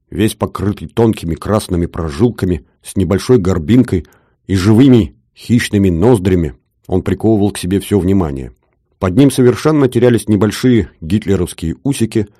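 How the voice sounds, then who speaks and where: A man reading a first-person story aloud in a measured, wry storytelling manner. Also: low-pitched, mellow, dry recording, studio quality. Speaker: audiobook narrator. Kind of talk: first-person audiobook narration.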